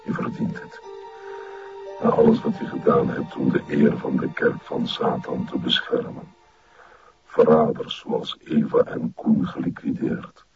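An actor playing a sinister, solemn satanic cult master with a monstrous growling voice in a crime drama. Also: male voice, very deep voice, flat delivery, measured pace, creaky, full character voice. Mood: solemn, grandiose. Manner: solemn, commanding. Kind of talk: broadcast crime drama acting. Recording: muffled, loud ominous music behind.

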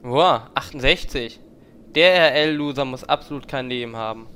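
A man's voice: mid-range voice, moderate pace, pushed and bright, clean tone, lightly acted. A man acting a snide, wordy, sarcastic adventurer in a comedic fantasy game audio drama. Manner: sarcastic, disdainful.

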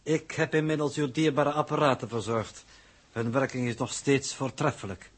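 Actor as husky, formal, unflappable spaceship advisor. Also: male voice, mid-range voice, steady tone, natural voice. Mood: dryly courteous. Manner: formal, measured, stilted. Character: courteous, unflappable male advisor, formal and precise. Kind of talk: 1960s Dutch radio drama acting. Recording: 1960s radio drama recording.

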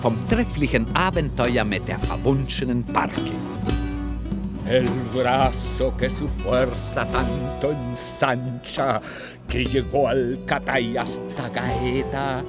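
Ein Mann trägt als Ansager in einem Hörspiel Titel und Verse vor. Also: male voice, medium pitch, fairly even intonation, takes it slowly, over loud music. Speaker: announcer and reciter. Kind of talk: scripted recitation of titles and verse.

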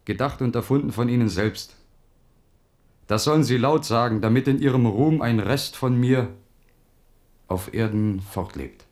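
An actor in a German radio drama playing a solemn, loyal poet-officer who speaks formally and deferentially. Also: male voice, medium pitch, measured pace, pauses often, a little mellow, close to an everyday voice. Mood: solemn, insistent. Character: grave, loyal poet-officer, the king's old friend.

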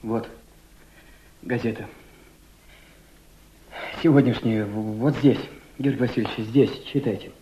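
An actor playing a devoted, sensitive young male aide in a Soviet radio play, emotive and halting. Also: mid-range voice, steady tone, warm tone, a little hiss or hum, natural voice. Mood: shaken. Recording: muffled archival radio drama recording.

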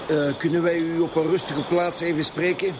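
An actor playing a blunt, suspicious and impatient Flemish police detective in a radio drama. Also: male voice, a little high, little pitch movement, normal speed, background noise, close to an everyday voice. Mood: businesslike. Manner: blunt, pressing. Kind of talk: Dutch-language radio drama acting.

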